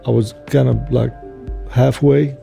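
A man's voice: medium pitch, little pitch movement, a little slow, gravelly, warm tone, soft background music. A man in his sixties from Syria, speaking English with a Syrian Arabic accent, earnestly recalling his youth in an interview. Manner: earnest, reflective storytelling. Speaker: interviewee, father. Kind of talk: interview recollection.

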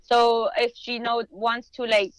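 Chatty young woman, smooth clear voice. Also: fairly high pitch, level tone, a little slow, no echo, low-quality recording. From United States, Chicano family. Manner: chatty, many "like" fillers. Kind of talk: casual podcast chat.

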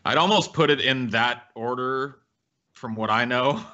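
A man's voice: mid-range voice, measured pace, sharp tone, highs cut off. A man co-hosting a podcast in casual chat, slangy and given to swearing. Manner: slangy, profane. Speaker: podcast co-host.

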